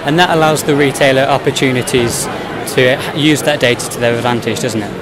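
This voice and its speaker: A man's voice: mid-range voice, steady tone, fairly quick. A man interviewing a guest for trade media, putting prepared questions at an exhibition. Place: busy exhibition hall. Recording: busy trade-show crowd noise. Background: crowd hubbub.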